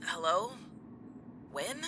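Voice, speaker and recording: Evasive, insistent man played by an actor, sardonic, lively pitch movement, clearly noisy setting. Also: very slow, exaggerated voice. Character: evasive, sardonic man hiding a grim secret. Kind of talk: naturalistic audio drama acting. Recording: clear ambient noise.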